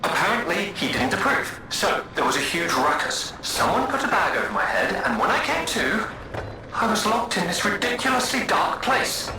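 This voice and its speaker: Game villain-or-friend casting: vain charming alchemist, lively highish voice, florid and playful, heavy echo. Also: male voice, moderate pace. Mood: plaintive, hopeful. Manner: florid, flattering, self-pitying and playful. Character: vain, theatrical, charming male alchemist speaking through puppets. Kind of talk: dramatic video game dialogue. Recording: very echoey, with loud background noise.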